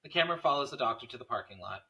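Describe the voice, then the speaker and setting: A man's voice: mid-range voice, lively intonation, rapid-fire, sharp tone. A man reading scene headings and stage directions as narrator at a script table read.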